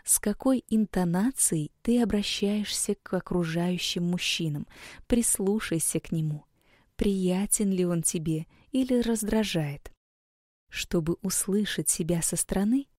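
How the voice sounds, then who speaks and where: A woman with a mellow, warm voice gives a scripted lesson and speaks to her listener in a soft, coaxing way. Her recording is close and dry, with no room sound at all. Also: medium pitch, unhurried, no room sound. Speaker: women's school coach. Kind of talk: scripted instructional narration.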